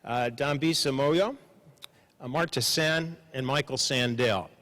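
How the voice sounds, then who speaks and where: A man moderating a panel, giving formal introductions with dry humor, measured and pausing for emphasis. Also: medium pitch, a bit bright. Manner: measured, slows for emphasis, frequent uh fillers. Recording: long hall reverb.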